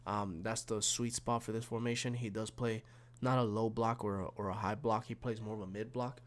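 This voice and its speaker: Sick, raspy-sounding gaming YouTuber man. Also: lowish voice, fairly even intonation, moderate pace. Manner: rambling, many fillers and restarts. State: sick, slightly raspy and snuffly. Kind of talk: casual tactics walkthrough.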